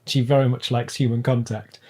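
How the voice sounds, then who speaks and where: A man chatting casually as a podcast guest, playful and expressive. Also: mid-range voice, a little fast, husky. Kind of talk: casual chat.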